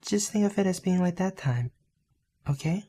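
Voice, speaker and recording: A man voicing a gentle, selfless young female goat in an anime fan dub, speaking softly and tenderly. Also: sits high, lively intonation, normal speed, vocal fry, heavily acted.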